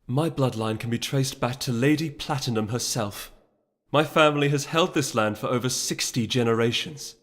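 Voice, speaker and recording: A man's voice: mid-range voice, normal speed, a bit bright, natural voice. A man voicing a proud, resolute noble mare who speaks formally and imperiously in a dramatic fantasy audiobook. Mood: cold, determined.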